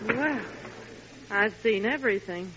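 An actress playing an exasperated, dry-witted middle-aged housewife in a 1940s radio comedy. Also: female voice, a little high, unhurried, background noise, lightly acted. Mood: dry, bewildered. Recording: muffled old radio recording.

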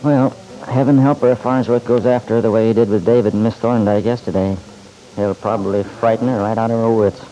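A man playing a down-to-earth, doubtful friend in a vintage radio serial. He talks informally in a low, dark, mellow voice. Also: fairly even intonation, brisk, lightly acted, poor audio. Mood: doubtful, worried. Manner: informal, sceptical, slangy. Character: plain-spoken, worried male friend, doubtful and down-to-earth. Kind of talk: old-time radio drama acting.

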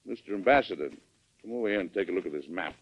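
Resolute low-voiced American president. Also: male voice, expressive, rapid-fire. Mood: firm, confronting. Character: resolute, blustery American president, firm and brisk. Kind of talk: old-time radio drama acting. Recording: dull old radio broadcast recording.

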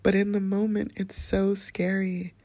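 A calm, soft-spoken woman hosting a podcast, sharing a reflective personal monologue in a hushed, whispery way. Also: mid-range voice, a little slow, no room sound. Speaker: podcast host.

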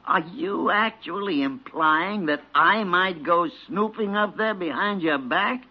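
An actor playing a cantankerous older senior doctor in an American radio drama, blustery and grumbling, with an American accent. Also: male voice, sits high, a little slow. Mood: irritated bluster. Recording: dull vintage radio recording.

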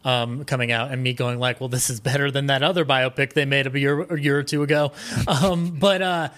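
Man, average pitch, casual podcast chat, animated, rambling, enthusiastic. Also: fairly quick. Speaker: podcast guest.

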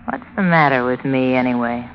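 Helen, sultry radio femme fatale. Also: female voice, extremely low pitch, brisk. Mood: coy, seductive. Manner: coy, seductive. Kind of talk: old-time radio noir acting. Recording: muffled old radio recording, faint noise.

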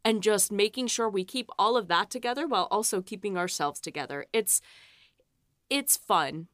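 A woman's voice: medium pitch, expressive, normal speed, fairly bright tone, dry recording. Chatty woman in a dry, close recording. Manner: chatty, emphatic, peppers talk with "like". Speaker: podcast host and production coordinator. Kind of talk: casual behind-the-scenes chat.

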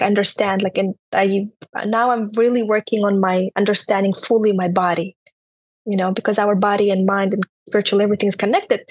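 Husky young woman. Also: mid-range voice, no echo. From Turkmenistan. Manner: earnest, open personal storytelling. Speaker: podcast guest. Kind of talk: casual interview storytelling.

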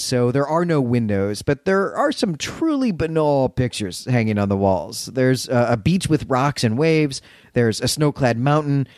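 A man with an average-pitched voice talks through a story as a podcast co-host. His delivery is conversational and wry, dropping sardonic commentary as he goes. The sound is very dry, with little room in it. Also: darkish tone, no room sound.

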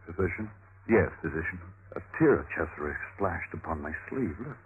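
An actor playing a sly, pompous Renaissance physician, theatrical, in an old-time radio drama with tinny vintage sound. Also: male voice, sits low, lively intonation, moderate pace, heavy background noise. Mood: hushed, conspiring. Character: sly, self-important Renaissance physician, quack and flatterer.

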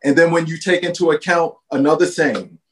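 A man's voice: fairly high pitch, fairly even intonation, fairly quick. A man speaking earnestly in conversation, persuading with a run of rhetorical questions. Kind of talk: casual conversation.

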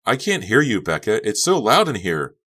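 A man's voice: fairly low pitch, huge pitch swings, normal speed, sharp tone, pitched above the performer's own voice, heavily acted. A man voicing a clingy, eager teenage girl in an audiobook. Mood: puzzled. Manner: eager, exclaiming.

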